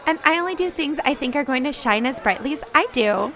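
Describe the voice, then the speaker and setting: Vain female superhero star, acted by a woman, high and animated, condescending poise, crowd behind. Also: quick pace, heavily acted. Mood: smug. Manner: smooth diplomatic condescension, easily flustered. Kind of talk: interview in audio drama.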